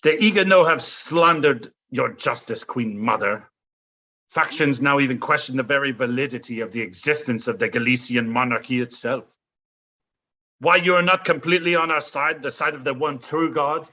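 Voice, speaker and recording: An actor playing a scheming, zealous French duke in an audio play, speaking in a grandiose, mocking, theatrical way. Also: male voice, medium pitch, bright, forceful tone. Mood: scornful, zealous. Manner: grandiose, mocking, florid delivery with dramatic drawn-out phrases.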